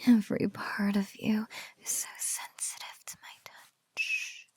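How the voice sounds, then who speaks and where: Slow, whispery, teasing spider-woman played by a woman. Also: medium pitch, huge pitch swings, dry recording, heavily acted. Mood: mock-sweet, teasing. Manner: slow, soft, breathy, lingering teasing delivery. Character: teasing, doting female spider-woman captor, playfully cruel. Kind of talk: whispered ASMR roleplay audio drama.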